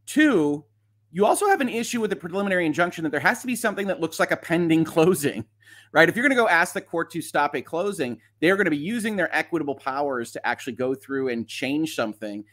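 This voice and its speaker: Male livestream host, voice a touch high. Informal explainer delivery, emphatic, conversational, with dry asides. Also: animated, fast talker, fairly bright tone. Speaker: host of a legal commentary livestream. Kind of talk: informal explanatory commentary, reading documents aloud.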